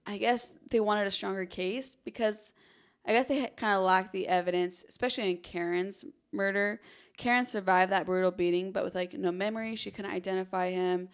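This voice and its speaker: A woman's voice: medium pitch, smooth. A woman co-hosting a true-crime podcast, telling the case in a casual, conversational way peppered with "like". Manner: casual, frequent "like" as hedging.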